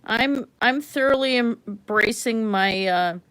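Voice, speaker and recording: A middle-aged woman whose first language was German, hosting a livestream and chatting casually with viewers. She rambles, laughs often and lets her sentences trail off softly. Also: fairly high pitch, slow, clear, resonant tone, poor audio. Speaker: livestream art host.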